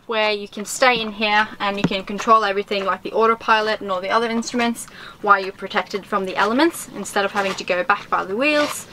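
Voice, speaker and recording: Young woman co-host, crisp bright tone, plain matter-of-fact delivery. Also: medium pitch, slight background noise, low-quality recording. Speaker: sailing vlog co-host. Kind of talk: casual boat tour explanation. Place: inside a sailboat cabin.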